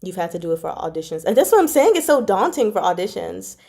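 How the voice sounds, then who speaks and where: A woman with a slightly low voice hosts a relaxed livestream chat. She talks informally with viewers, drifting into giggly asides as she answers their comments. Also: quick pace, gravelly. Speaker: livestream host reading chapters aloud and chatting with viewers.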